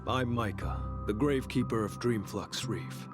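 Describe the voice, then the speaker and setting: A man voicing a polite, mysterious young man who tends graves in a game, courteous and softly formal, with game music behind. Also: medium pitch, normal speed, close to an everyday voice. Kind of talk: game voice acting. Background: game background music.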